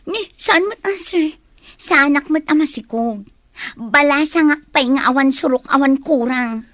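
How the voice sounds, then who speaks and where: An actress playing a vain, easily annoyed young office worker in a radio drama, with a haughty, whiny delivery. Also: female voice, sits high, measured pace, a bit bright, no echo. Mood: flustered, offended.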